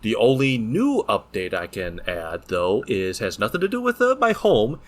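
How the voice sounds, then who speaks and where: Male podcast co-host, lowish voice, casual and joking, comfortable with coarse language. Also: wildly swooping pitch, moderate pace. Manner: profane, joking banter. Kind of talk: casual chat.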